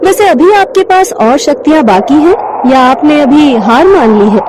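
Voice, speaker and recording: A woman's voice: high-pitched, animated, normal speed, noisy background. A woman playing a goddess in the form of a blazing light, composed and taunting as she challenges proud gods in a devotional story. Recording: music behind the voice.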